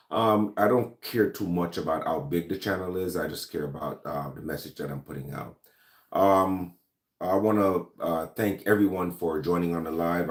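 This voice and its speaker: A man's voice: deep voice, roomy sound. A man from Jamaica with a Jamaican accent, hosting a casual livestream, greeting viewers by name with many ums. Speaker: host of a gun-talk livestream. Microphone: laptop or camera mic.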